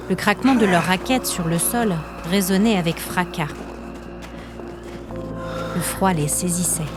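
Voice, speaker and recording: A woman narrating scripted fiction as a storyteller, with measured, literary phrasing. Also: lowish voice.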